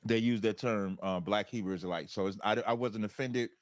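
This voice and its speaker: A man's voice: on the low side, a little fast, no room sound, clean studio sound. An American man speaking as a guest in a conversational debate, assertive and explanatory. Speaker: debate guest, religious group captain.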